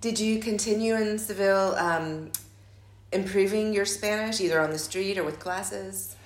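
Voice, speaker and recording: A woman's voice: medium pitch, moderate pace. A woman interviewing someone, asking short, plain questions. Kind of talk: oral history interview. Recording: echoey room.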